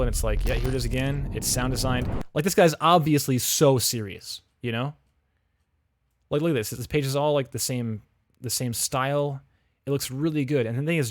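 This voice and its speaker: A man talks casually into a close desk mic, giving frank, chatty feedback with lots of restarts and fillers. His voice sits at an ordinary middle pitch, and the sound is dry and close with no room echo. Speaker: livestream host reviewing game audio reels.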